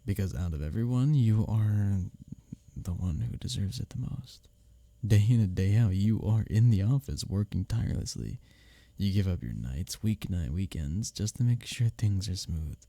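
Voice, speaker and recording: A man's voice: fairly low pitch, gravelly, dry recording. A man playing a teasing, earnest male office rival who is secretly smitten, in an intimate ASMR roleplay audio drama. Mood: sincere, hesitant. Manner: expressive, halting confessions with soft chuckles and sighs.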